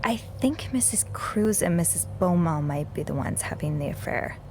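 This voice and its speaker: Earnest young sleuth, faint noise behind. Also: female voice, on the low side, animated, normal speed, creaky, darkish tone. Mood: thoughtful, hesitant. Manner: hesitant, thoughtful, understated. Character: earnest, curious, modest young woman amateur sleuth. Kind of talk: naturalistic video game acting.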